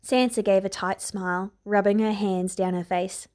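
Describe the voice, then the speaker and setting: A woman's voice: medium pitch, steady tone, normal speed, darkish tone. A woman narrator reading a scripted piece of fiction aloud. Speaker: podfic narrator.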